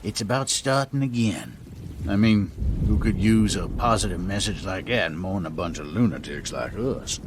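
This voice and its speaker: An actor playing an eccentric, evasive man who muses slyly and haltingly in a video game. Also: low voice, expressive, background noise. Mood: sly, musing. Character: eccentric male ceremony insider, evasive, folksy philosopher. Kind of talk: video game cutscene dialogue. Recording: rain and thunder ambience behind voice.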